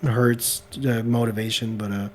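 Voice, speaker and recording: A man whose first language is French, chatting casually about making videos; his voice is slightly gravelly, he hesitates and fills with "like", and he is picked up on a laptop microphone. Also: mid-range voice, flat delivery, unhurried. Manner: hesitant, many "like" fillers. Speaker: guest video creator. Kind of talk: casual podcast chat.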